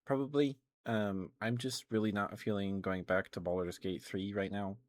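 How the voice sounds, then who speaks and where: Low-pitched man rambling on an ASMR stream. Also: fairly even intonation, normal speed. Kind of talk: casual rambling ASMR livestream chat.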